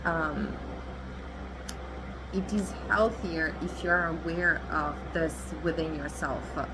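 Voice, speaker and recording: Italian-native woman, low voice, noisy street. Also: fairly even intonation, slow pace. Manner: candid, chatty, assertive, laughs often. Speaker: tarot reader. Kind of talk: collective tarot reading.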